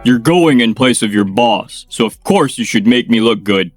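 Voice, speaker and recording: An actor playing an arrogant, bossy middle-aged company president in a manga dub. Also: male voice, medium pitch, animated, normal speed, soft background music, lightly acted. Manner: bossy, domineering.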